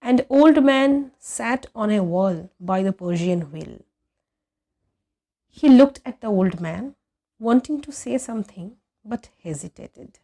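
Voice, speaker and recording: A woman reads a story aloud at a slow, measured pace. Her narration is expressive and scripted, with deliberate pauses for drama, in a mid-pitched voice. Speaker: audiobook reader.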